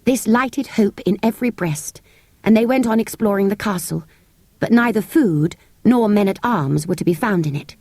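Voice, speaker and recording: A woman with a British accent narrates a children's story in an expressive, measured storytelling manner. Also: medium pitch, dry recording. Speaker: audiobook narrator. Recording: close, dry cassette tape recording.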